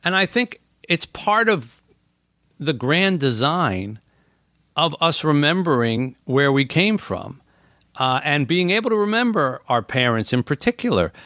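A man's voice: a little high, sing-song, a little slow, pushed and bright, no echo, studio quality. A middle-aged man, a podcast guest, talking in an earnest, thoughtful way with frequent "you know" fillers. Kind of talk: reflective casual conversation.

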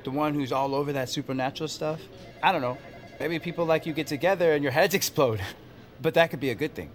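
Friendly male coworker, played by an actor, fairly high voice, awkward, casual, upbeat, audio drama, faint music. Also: fast, natural voice. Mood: friendly, eager.

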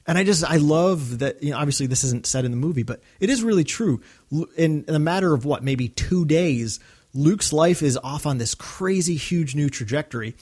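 A man's voice: a little high, lively intonation, normal speed, a little mellow, slightly rough. A man co-hosting a podcast, chatting casually in an earnest, reflective way and now and then voicing quotes. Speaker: podcast co-host. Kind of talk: casual discussion of a radio drama.

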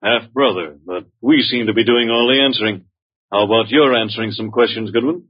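An old, dull-toned radio drama recording. a supercilious gentleman with a fairly low, slightly rough voice. He is curt, suspicious and dryly sardonic. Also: brisk. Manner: clipped, sardonic, haughty. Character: suspicious, dry, supercilious male relative of a rich man. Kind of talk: 1940s-50s American radio drama acting.

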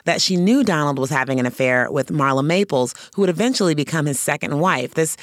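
A woman hosting an entertainment news show, with brisk, conversational presenting. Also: low voice, animated, dry recording, clean studio sound.

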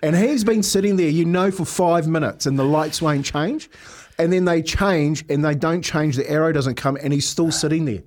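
A middle-aged man with a New Zealand accent telling stories in casual radio banter, animated and often chuckling as he talks. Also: a little high, normal speed. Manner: animated, jokey storyteller who often chuckles while talking. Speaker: radio show host.

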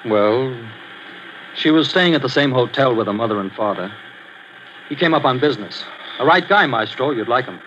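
An actor playing an earnest young boxer who talks in a plain, direct way, in a 1940s radio drama. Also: male voice, medium pitch, animated, moderate pace, a bit bright, close to an everyday voice. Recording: hissy lo-fi old radio recording.

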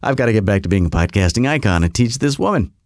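A man's voice: lowish voice, lively intonation, fast talker, a little mellow, no room sound, studio quality. A man hosting a podcast who tells a rambling comic story full of self-mocking asides. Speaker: podcast host.

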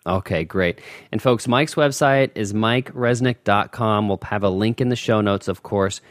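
A man's voice: fairly low pitch, normal speed, no room sound, studio quality. A man hosting a casual podcast interview, polite and appreciative.

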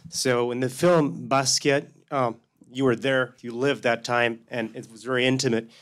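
A man's voice: mid-range voice, steady tone, moderate pace, a bit bright. Man rambling through an audience question. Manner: rambling, searching for words. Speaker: audience member asking a question.

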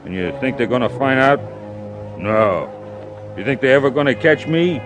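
An actor playing a simple-minded young man who poisoned someone and brags about his cunning, in halting, childlike speech. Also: medium pitch, expressive, music in the background, close to an everyday voice. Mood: boastful. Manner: slow, halting, childlike boasting. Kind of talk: 1950s radio drama acting. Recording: old radio broadcast recording.

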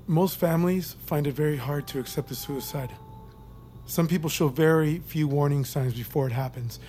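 An actor playing a calm, probing male army psychiatrist in a naturalistic audio drama. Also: on the high side, normal speed, slight background noise, natural voice.

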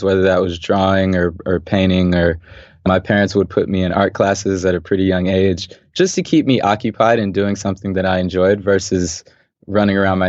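A young man from Los Angeles, a podcast guest and designer, talking thoughtfully and calmly in a relaxed interview and laughing easily. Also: low-pitched, no room sound. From Los Angeles, USA. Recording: dull, dry podcast audio.

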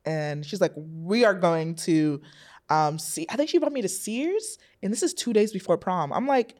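A woman's voice: on the low side, normal speed. A young adult woman, a podcast guest, telling a family story in casual chat and acting out the voices of others. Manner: animated storyteller, acts out quoted voices.

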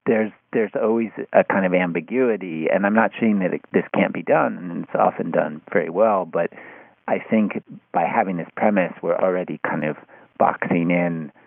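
A man's voice: low-pitched, vocal fry, no room sound. A man in a casual, analytical discussion, speaking in hesitant, circling, heavily hedged phrases. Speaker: podcast discussion participant. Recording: muffled phone-like line.

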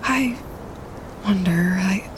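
A practical woman photographer out in the winter wilderness. She talks slowly and plainly, keeping it low-key and hushed, as in a naturalistic audio drama. Clear outdoor ambience sits behind her. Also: noisy background. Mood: uneasy, wondering.

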